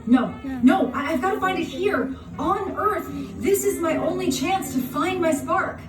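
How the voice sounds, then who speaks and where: A woman's voice: very high voice, a little slow, pitched above the performer's own voice. A woman voicing an anxious, childlike young female soul in a storybook, hesitant and earnest. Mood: desperate pleading. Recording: roomy, distant sound with music behind.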